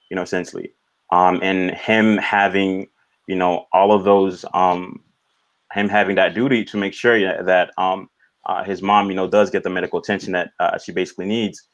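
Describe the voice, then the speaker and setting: A man whose native language is Swahili, answering questions casually as a film director, halting and often filling with "you know". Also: low voice. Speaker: film director being interviewed.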